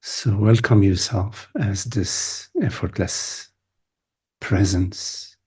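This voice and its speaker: A man leading a guided meditation as a teacher, speaking in short contemplative phrases with long pauses. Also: on the low side, steady tone, mellow, husky. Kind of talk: guided meditation, spoken softly to listeners.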